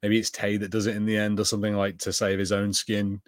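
Lower-voiced man thinking aloud, dry sound. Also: monotone, fast, no room sound. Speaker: podcast co-host. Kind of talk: casual TV review chat.

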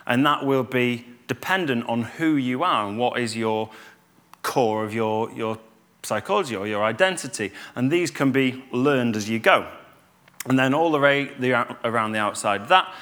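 A man, giving a calm, conversational church talk with fillers and restarts. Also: mid-range voice, normal speed. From Yorkshire, England. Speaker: church speaker.

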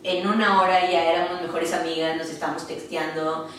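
A woman's voice: fairly low pitch, little pitch movement, moderate pace, far from the mic, a little hiss or hum. A young woman from Monterrey with a northern Mexican accent, chatting casually and giggly, mixing in some English. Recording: very echoey room, off mic, music behind.

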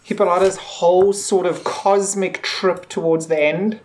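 A man co-hosting a podcast, chatting casually and haltingly, repeating words as he forms his thoughts. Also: high-pitched, steady tone, darkish tone, a little hiss or hum. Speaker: podcast co-host. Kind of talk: casual episode discussion.

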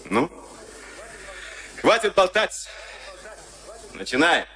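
An actor in a Soviet radio play, playing a sadistic, smug SS camp officer with a taunting, mock-polite manner. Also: male voice, sits high, very animated, extremely slow, full character voice. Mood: commanding, taunting. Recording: noisy archival radio drama recording.

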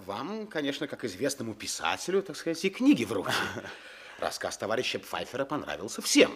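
An actor playing a fussy, jovial sanatorium literary club member in a radio drama, husky and florid in speech. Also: male voice, mid-range voice, huge pitch swings, a little fast, a little hiss or hum. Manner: florid, theatrical. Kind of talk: Russian radio drama acting.

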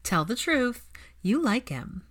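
Woman reading as a candid, gently teasing mother. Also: a little high, sing-song, slow, voice pushed up, exaggerated voice.

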